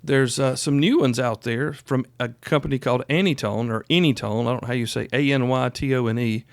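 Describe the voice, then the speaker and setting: A man in his fifties speaks in a dry recording with a Southern US accent. His delivery is that of an informal podcast host, plainspoken and folksy, leaning firmly on his points. His pitch sits around the middle for a man. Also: brisk, no echo. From South Carolina, United States. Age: 50s. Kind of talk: informal solo podcast talk.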